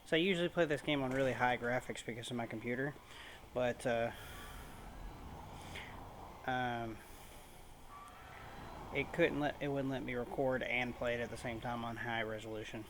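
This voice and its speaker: A man's voice: medium pitch, fairly even intonation, moderate pace, pauses often, a bit bright. Impatient man grumbling through gameplay, noisy recording. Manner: impatient, sarcastic grumbling at the game. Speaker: gaming video creator playing and commenting. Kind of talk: casual let's play commentary.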